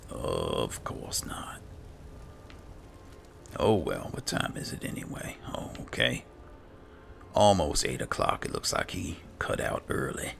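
A man casually narrating roleplay gameplay in character, muttering in a low-key way and trailing off. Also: wildly swooping pitch, slow, creaky, long pauses, a bit bright, a little hiss or hum. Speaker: gamer playing in character.

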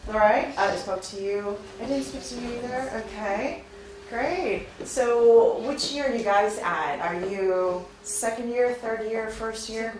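A woman's voice: fairly high pitch, a little slow, darkish tone. Chatty woman in an echoey classroom. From born in Venezuela, raised in Spain. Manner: upbeat, chatty, asks the audience frequent questions. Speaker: recruiter presenting to students. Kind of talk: informal presentation to a class. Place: classroom with seated students. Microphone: far camera, no visible mic. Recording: very echoey classroom, faint noise.

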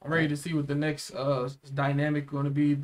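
Higher-pitched young man chatting casually. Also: completely flat delivery, fast. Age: young adult. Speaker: reaction show host. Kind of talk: casual reaction chat. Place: small room indoors, seated.

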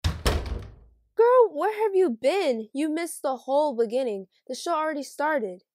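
A woman playing a hurt, suspicious high school girl with dramatic, sassy teen talk in an amateur animated drama. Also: slow, slight background noise. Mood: annoyed. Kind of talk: amateur voice acting for an animated mini movie.

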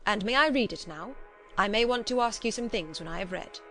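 Woman performing an effusive old foreign gentleman doctor. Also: mid-range voice, animated, brisk, faint music behind, close to an everyday voice. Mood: courteous, grateful. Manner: effusive, emphatic, formal broken English. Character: kindly old foreign male doctor, effusive, solemn, courtly.

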